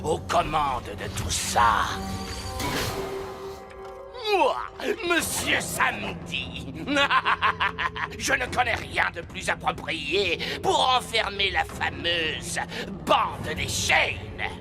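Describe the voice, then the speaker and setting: A man voicing a gloating, grandiose cartoon villain who runs a prison, with dramatic drawn-out emphasis. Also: sits high, very animated, creaky, music in the background, full character voice. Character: gloating, theatrical villainous prison boss and businessman. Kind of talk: animated series dubbing in French.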